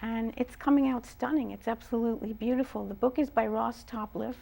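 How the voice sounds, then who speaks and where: A woman talking casually as an interview guest, often pausing mid-phrase. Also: a little high, steady tone, gravelly, warm tone. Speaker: guest, writer and composer.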